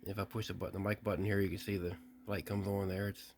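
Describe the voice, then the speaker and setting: A man's voice: sits low, little pitch movement, fast, darkish tone, a little hiss or hum. A man talking casually as he unboxes and tests an old radio, thinking aloud with small restarts. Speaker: radio hobbyist testing gear.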